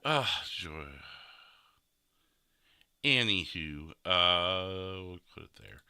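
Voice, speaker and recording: Bright, low-voiced man hosting a stream. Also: expressive, drawn-out words, clean tone, no echo, studio quality. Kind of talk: casual chat.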